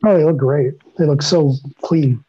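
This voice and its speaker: A man's voice: a little high, normal speed, warm tone, husky. A man in his thirties or forties giving an informal update at a board meeting over a video call. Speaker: board member reporting. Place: small room at home on video call. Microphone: computer mic on video call. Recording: muffled video call audio.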